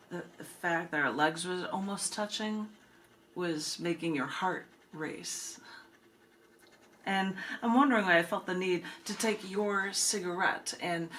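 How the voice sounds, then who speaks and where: A woman reading spoken-word poetry in measured, deliberate phrases with dramatic pauses. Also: low-pitched, a bit bright, slight background noise. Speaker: poet reading own work, host of the reading.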